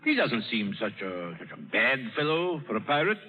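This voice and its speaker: An actor as a lively old lord, tinny radio. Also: male voice, mid-range voice, normal speed, natural voice. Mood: wry, probing. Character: worldly, avuncular older English lord, wryly sympathetic. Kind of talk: 1930s radio drama acting. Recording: vintage radio broadcast, thin and tinny.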